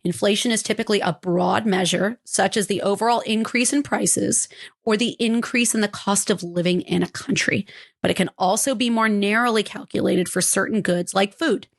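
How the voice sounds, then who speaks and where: A woman in her forties co-hosts a chatty podcast, her voice hoarse from a cold. She jokes, swears casually, then settles into reading an explainer. Her pitch sits in an ordinary middle range. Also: moderate pace. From California, United States. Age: 40s. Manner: salty, joking, swears casually. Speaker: podcast co-host. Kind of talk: casual chat with a scripted explainer.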